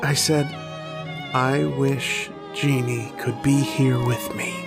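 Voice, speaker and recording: An actor playing a lovestruck, haunted young man who tells of his dreams in a slow, wistful, literary style of old-time radio drama. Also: medium pitch, fairly even intonation, over music. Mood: tender, awed. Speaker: actor and narrator in a horror radio play.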